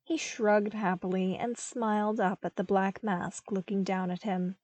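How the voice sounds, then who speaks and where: A woman reading scripted story narration as an audiobook narrator, with careful, expressive delivery. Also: mid-range voice, a little slow. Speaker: podfic narrator.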